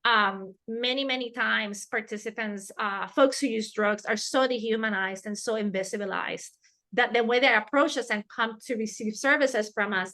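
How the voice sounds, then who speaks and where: A woman with a Spanish accent teaches a webinar over a video call. Her voice sits a little high and sounds bright and clear. She talks earnestly and repeats key words to drive them home. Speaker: webinar presenter.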